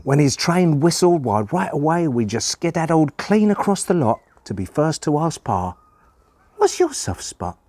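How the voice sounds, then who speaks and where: A man's voice: fairly high pitch, big pitch swings, normal speed, a little mellow, dry recording, voice pushed up. A man voicing a folksy country child reciting a family story in rustic dialect. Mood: eager. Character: folksy country child telling about a beloved Pa. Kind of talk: dramatic poem recitation.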